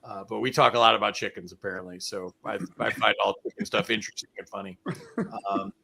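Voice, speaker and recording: A man's voice: on the low side, fairly even intonation, fast talker, vocal fry, pushed and bright. A man hosting a casual online game show, joking, laughing often and reading out chat comments.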